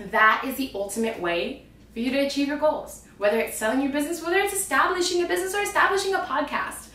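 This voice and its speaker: A woman, raspy and out of breath, giving an energetic, slangy motivational vlog from home. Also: on the high side. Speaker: vlogger and trapeze instructor. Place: her home. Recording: echoey room, distant mic, faint noise.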